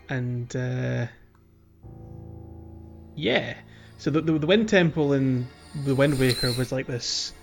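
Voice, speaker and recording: A man's voice: medium pitch, vocal fry. A man chatting casually and enthusiastically about a game as a podcast co-host. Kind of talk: casual game discussion chat.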